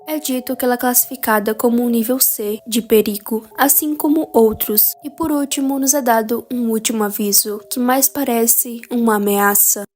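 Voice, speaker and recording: A woman narrating a recap of a horror video series in a steady, explanatory way. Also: fairly high pitch, normal speed, hardly any pauses, noisy background.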